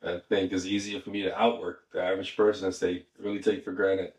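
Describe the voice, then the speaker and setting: A man's voice: deep voice, little pitch movement, fast, a little mellow. A man from the Bahamas talking casually and emphatically, with informal phrasing and repeated phrases for stress. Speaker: podcast participant. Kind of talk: casual conversation. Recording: echoey room, distant mic.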